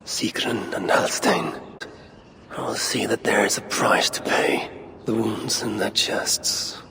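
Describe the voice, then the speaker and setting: A gravelly, brooding Norse god warrior. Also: male voice, fairly low pitch, expressive, exaggerated voice. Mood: grim, pondering. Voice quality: gravelly, breathy undertone. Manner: measured, brooding, deliberate. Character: grim, commanding Norse god warrior investigating ancient ruins. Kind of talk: dramatic game voice acting, brooding narration. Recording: heavy ambient game noise behind.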